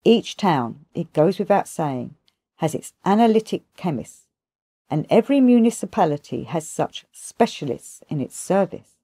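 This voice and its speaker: A woman's voice: lowish voice, sing-song, moderate pace, dark tone, husky, no echo, heavily acted. A woman voicing a kindly but sarcastic male pharmacist who explains things at length in an audiobook. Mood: sarcastic, scornful. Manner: sarcastic, expository, rhetorical questions. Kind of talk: audiobook character dialogue.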